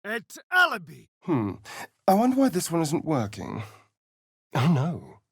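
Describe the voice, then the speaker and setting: An actor voicing a vain, sardonic vampire spawn rogue in a video game, arch and theatrical with a drawling delivery. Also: male voice, on the high side, wildly swooping pitch, measured pace, fairly bright tone, slightly rough, no echo, studio quality, exaggerated voice. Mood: forceful spellcasting, then wary, muttering. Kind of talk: video game character voice lines.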